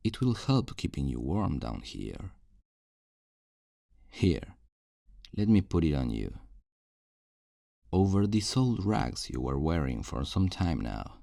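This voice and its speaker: A man's voice: very deep voice, very animated, vocal fry, warm tone, no room sound, studio quality, heavily acted. A man playing a possessive, falsely tender kidnapper in an intimate ASMR roleplay, coaxing and condescending with veiled menace. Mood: pleased, coaxing. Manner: slow, coaxing, condescending endearments with veiled threats.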